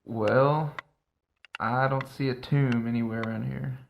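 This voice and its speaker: A man's voice: medium pitch, steady tone, measured pace, creaky, a little hiss or hum. A man playing a greedy, cynical middle-aged uncle in an audio drama, sardonic, impatient and irreverent. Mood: exasperated. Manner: sardonic, dry. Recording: music behind the voice.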